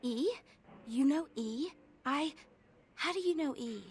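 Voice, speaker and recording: A woman's voice: high-pitched, animated, slow pace, a little hiss or hum. A woman playing a frightened, guilt-stricken young vampire in a video game, with fraught, pleading delivery. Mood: sad, anxious. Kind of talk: dramatic video game voice acting.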